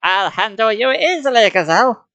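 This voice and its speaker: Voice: medium pitch, very animated, moderate pace, a bit bright, no room sound, pitched above the performer's own voice. A storybook reader voicing an evil masked mutant supervillain with a menacing, theatrical, commanding delivery.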